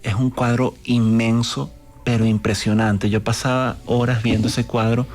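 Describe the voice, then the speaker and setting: Earnest, halting male painter guest. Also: mid-range voice, little pitch movement, darkish tone. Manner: earnest, halting, repeats words. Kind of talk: radio interview.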